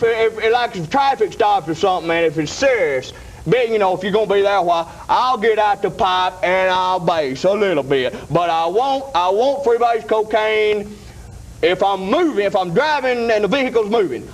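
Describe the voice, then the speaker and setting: Higher-pitched, folksy man. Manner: repetitive, folksy, emphatic. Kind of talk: rambling comic testimonial.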